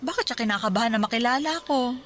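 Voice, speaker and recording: A woman playing a caring middle-aged mother in a Filipino radio drama, questioning in a motherly, gently firm way. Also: a little high, animated, fast talker. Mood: teasing, curious.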